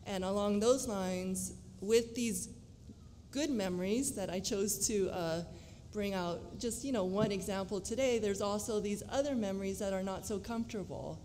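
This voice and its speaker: A woman, a teacher speaking on a panel in an earnest, deliberate way. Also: medium pitch, mellow. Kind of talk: panel discussion. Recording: long hall reverb, faint noise.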